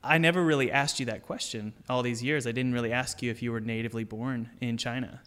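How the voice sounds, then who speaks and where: A man hosts a podcast in a chummy, enthusiastic way, calling his guest "dude." His voice has a forceful, bright edge at a middling pitch. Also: steady tone, quick pace, clean tone. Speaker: podcast host. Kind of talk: casual interview chat.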